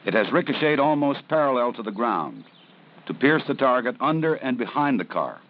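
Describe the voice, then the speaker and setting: A man narrating a 1970s police training film in a measured, serious, instructive way. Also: mid-range voice, very animated. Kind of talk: scripted documentary narration. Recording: dull 1970s film soundtrack with faint hiss.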